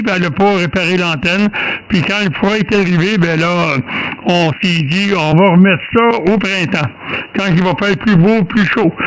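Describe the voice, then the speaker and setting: A man's voice: sits high, fairly bright tone, rough recording. A man in his thirties with a Québécois accent, chatting casually and joking over an amateur radio contact, with many hesitations. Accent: Québécois French. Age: 30s. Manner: hesitant, full of fillers and joking.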